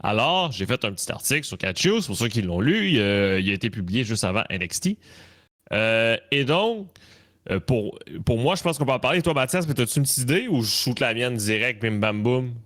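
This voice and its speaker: A man's voice: mid-range voice, sing-song, fairly quick, a bit bright. A man with a Canadian French accent co-hosting a casual live wrestling talk stream, often repeating words as he builds his point. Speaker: wrestling talk show co-host.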